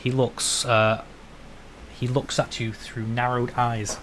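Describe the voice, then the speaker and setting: Game master narrating over faint noise. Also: male voice, medium pitch, flat delivery, slow, fairly bright tone. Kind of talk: improvised narration of a tabletop game.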